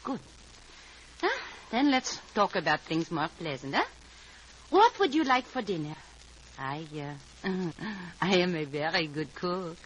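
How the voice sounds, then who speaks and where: An actress playing a stern young Communist agent with a halting, coolly warning manner in a 1950s radio drama. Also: female voice, lowish voice, very animated. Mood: coaxing. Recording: faintly noisy vintage radio recording.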